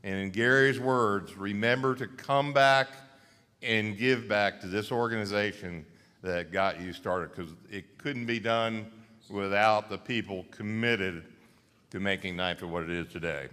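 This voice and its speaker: Older man with a bright voice, echoing hall. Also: on the low side, measured pace, smooth. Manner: folksy, reminiscing, emphatic. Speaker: banquet speaker presenting an award. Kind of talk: speech at a podium. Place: large banquet hall. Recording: reverberant banquet hall.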